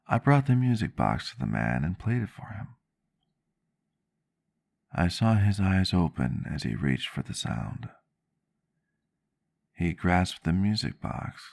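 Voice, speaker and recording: A man plays a reflective male vampire doctor in an ASMR roleplay. His voice sits very low, with a heavy fry running through it. He tells his story gently, in measured and slightly antique phrasing. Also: heavily acted. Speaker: roleplay character telling a story.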